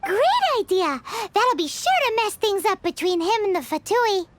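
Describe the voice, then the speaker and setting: Squeaky, excitable little fairy girl. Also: moderate pace, noisy background. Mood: eager. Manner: refers to self in third person, exclamatory. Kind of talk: video game dialogue.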